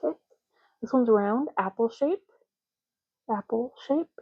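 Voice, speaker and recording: A soft-spoken woman doing an ASMR roleplay as a clerk, explaining gently and unhurriedly in short, broken phrases. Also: a little high, lively intonation, measured pace, husky, no room sound, lo-fi sound. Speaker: ASMR creator playing an ID card clerk.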